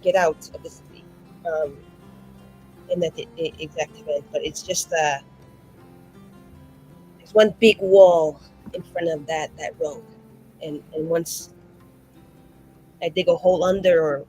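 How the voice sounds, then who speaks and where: A woman's voice: deep voice, steady tone, unhurried, long pauses, mellow, faint music behind, rough recording. A woman playing her character at a tabletop game, rambling with fillers and restarts. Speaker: player. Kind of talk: in-character roleplay chat.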